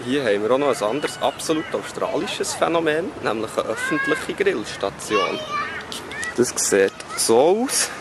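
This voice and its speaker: A man's voice: mid-range voice, sing-song, moderate pace. A man giving casual, wry travel vlog commentary while out and about in a city. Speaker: travel vlogger. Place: outdoors in a city park and streets. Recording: very noisy outdoor street and park audio.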